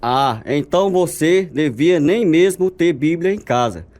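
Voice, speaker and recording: Accusing prosecutor man, slightly high voice. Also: expressive, measured pace, darkish tone. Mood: suspicious, scornful. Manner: accusatory, interrogating. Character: accusing, suspicious male Soviet prosecutor in court. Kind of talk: radio drama acting.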